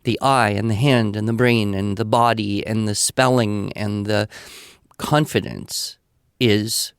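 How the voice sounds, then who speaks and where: A man's voice: on the low side, fairly even intonation, takes it slowly, clear, resonant tone, no room sound, clean studio sound. An older man answering questions in a conversational, didactic way, thinking aloud and explaining with examples. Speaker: podcast guest expert answering listener questions.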